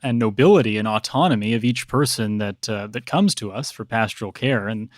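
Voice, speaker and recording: Measured American man, thoughtful priest, dry sound. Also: fairly low pitch, no echo. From Texas, United States. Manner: measured, hesitant, thoughtful phrasing. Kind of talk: reflective interview talk.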